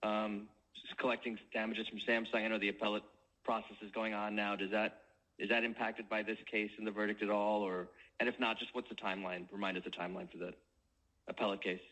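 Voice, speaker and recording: A man's voice: fairly low pitch, flat delivery, a little fast, pushed and bright, rough recording. A man speaking as a financial analyst, putting questions to executives on an earnings call.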